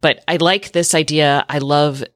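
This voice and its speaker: Low voiced lady podcast host, chatty and informal, really dry sound. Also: steady tone, measured pace, a bit bright, no room sound. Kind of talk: casual tech podcast chat.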